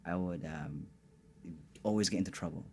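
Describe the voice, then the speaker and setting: A man's voice: low voice, moderate pace, dark tone, husky. A man from Northern China, a guest in a podcast studio, talking casually and often searching for words. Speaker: guest, tea bar co-founder. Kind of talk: casual interview chat.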